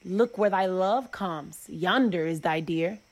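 A woman's voice: lowish voice, slow pace. A woman playing a besotted, haughty young male lover in a Shakespearean verse radio play, declaiming grandly. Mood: haughty, dismissive.

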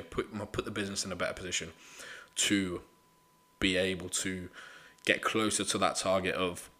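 A young man talks straight into the mic, his voice low-pitched but bright and forward. He is blunt and motivational, swears freely, and keeps tossing in "you know" as he goes. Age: 20s. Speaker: podcast host. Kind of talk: casual solo podcast monologue.

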